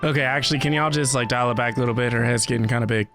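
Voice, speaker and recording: A woman's voice: extremely low pitch, steady tone, racing, over music. A woman giving casual, slangy, teasing reaction commentary as she streams a game.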